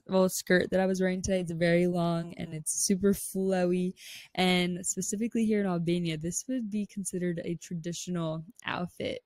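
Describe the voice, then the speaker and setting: A woman's voice: lowish voice, darkish tone, dry recording. A young woman from Pennsylvania hosting a podcast, chatting in a bubbly, upbeat way with lots of fillers, recorded on a close handheld mic outdoors. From Pennsylvania, United States. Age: 20s. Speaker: podcast host. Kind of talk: casual chat. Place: rooftop terrace outdoors. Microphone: large desk mic held close, headphones.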